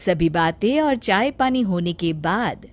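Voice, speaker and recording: A woman narrating a scripted cartoon story in a calm, even way. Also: medium pitch, unhurried.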